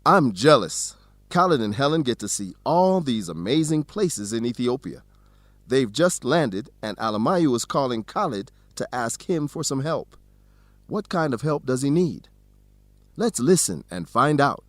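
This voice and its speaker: Animated male radio drama narrator. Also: mid-range voice, a little slow, no room sound. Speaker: narrator and host. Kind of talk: scripted narration introducing drama scenes.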